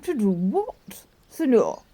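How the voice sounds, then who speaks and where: An actress playing a kindly, unhurried friar in a Shakespeare radio play. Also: female voice, on the high side, sing-song, warm tone, heavily acted. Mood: helpful, assured. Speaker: actor in an audio Shakespeare play. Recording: faint noise and clicks.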